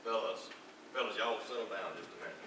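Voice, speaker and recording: Grave leader of disciples played by a man, slightly low voice, echoing stage hall. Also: a little fast, close to an everyday voice. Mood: grave, troubled. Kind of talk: church stage drama. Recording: echoey hall, distant mic, noisy.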